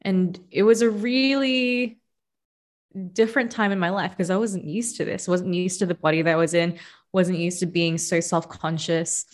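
A young woman from the Philippines, a podcast guest, telling her own story in a relaxed, reflective way with many fillers. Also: lowish voice, normal speed. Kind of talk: casual interview storytelling.

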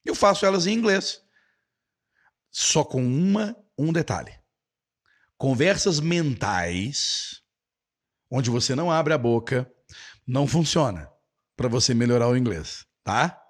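A man's voice: medium pitch, animated, breathy edge. A middle-aged Brazilian man with a Brazilian Portuguese accent, chatting casually as an English teacher who answers viewers' comments. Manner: chatty, with many restarts and quick asides.

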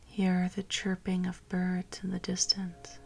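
A woman guiding a meditation in a soft, partly whispered voice. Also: fairly low pitch, monotone, slow, creaky. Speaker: meditation guide. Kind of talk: guided meditation.

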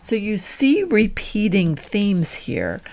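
Lady career coach, medium pitch, talking right to you, explaining stuff step by step with emphasis. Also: big pitch swings, takes it slowly, vocal fry, a little mellow. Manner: explanatory, emphatic, addresses listener directly. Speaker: career coach and podcast host. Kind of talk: instructional coaching with role-played example dialogue.

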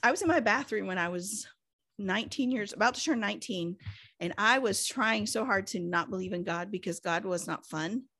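A woman in her fifties, a pastor's wife, telling her story in a chatty, lively way and acting out what others said. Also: mid-range voice, normal speed. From United States. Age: 50s. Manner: chatty, animated storyteller who acts out quotes. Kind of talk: casual storytelling.

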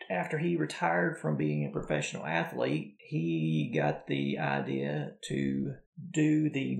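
Guy cohosting a podcast, lots of gravel and fry, voice bounces up and down, roomy echo. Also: a little high. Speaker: podcast co-host. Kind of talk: casual podcast chat.

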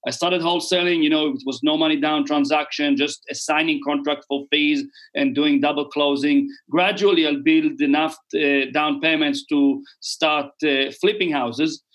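A man's voice: fairly high pitch, steady tone, normal speed, hardly any pauses, fairly bright tone, poor audio. A middle-aged man with an Israeli accent, explaining things conversationally as an interview guest in a rambling way with repeated words. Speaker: podcast guest, real estate investor.

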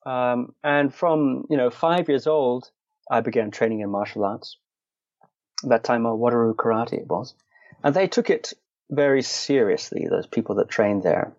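A man's voice: medium pitch, normal speed, a little mellow, no room sound. Dry-humoured man from Scotland, slightly dull audio. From Shetland Islands, Scotland. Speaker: interview guest, meditation and martial arts practitioner. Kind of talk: conversational interview, storytelling.

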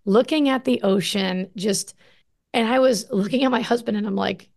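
A woman hosting a casual video-call conversation, chatty and enthusiastic, quick to gush in agreement. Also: medium pitch, a little mellow. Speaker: podcast host. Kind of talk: casual chat.

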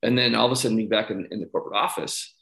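Low-voiced man interviewing in a roomy space. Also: rapid-fire, gravelly, a bit bright. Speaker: podcast host. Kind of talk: conversational coaching-style interview. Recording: roomy, echoing sound.

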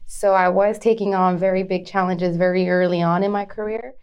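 A woman's voice: lowish voice, steady tone, a little fast, darkish tone, clean tone, dull audio. A woman in her thirties from Arizona, telling her life story as an interview guest, chatty, laughing often and restarting phrases. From Arizona, USA.

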